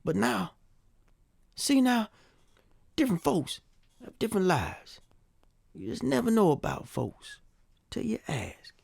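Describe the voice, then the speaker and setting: A man playing an old, teasing former slave and musician in an audio drama, with a husky, gravelly drawl. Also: high-pitched, big pitch swings, unhurried, pauses often, a little mellow, dry recording, heavily acted. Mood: reflective, matter-of-fact. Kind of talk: nostalgic audio drama acting.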